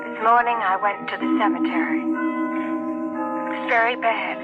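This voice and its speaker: A woman's voice: mid-range voice, lightly acted. Woman acting a grieving wife, muffled old radio. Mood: sorrowful longing. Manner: aching, measured diary reading with pauses. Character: grieving young wife, tender, sorrowful, longing. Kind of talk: 1950s radio drama monologue. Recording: dull vintage radio recording, loud music behind.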